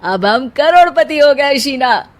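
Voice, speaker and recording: A woman's voice: high-pitched, lively intonation, takes it slowly, voice pushed up. A woman voicing an earnest young man in love in a cartoon story, speaking in a reassuring, reasoning way. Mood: excited.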